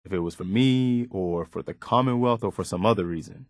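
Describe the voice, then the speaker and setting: An actor playing an earnest, loyal militia lieutenant in a video game, sincere, respectful and soldierly. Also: male voice, deep voice, brisk, dry recording, studio quality. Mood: heartfelt. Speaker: companion character in a video game.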